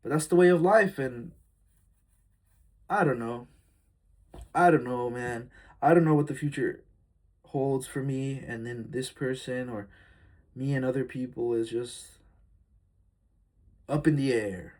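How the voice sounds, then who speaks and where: A young man in his early twenties speaks straight into a phone camera, rambling and heartfelt, thinking aloud with fillers and repeats. His voice is husky and a little breathy, pitched at an ordinary middle height for a man. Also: a little slow, long pauses, a little mellow. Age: early 20s. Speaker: vlogger. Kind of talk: casual confessional vlog.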